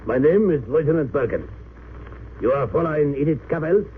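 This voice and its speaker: An actor playing a cold, haughty German army lieutenant in an old radio drama, stern, formal and sneering. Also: male voice, fairly high pitch, expressive, a little fast, lightly acted. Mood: coldly formal. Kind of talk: 1930s-40s radio drama acting. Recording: tinny, hissy old radio recording.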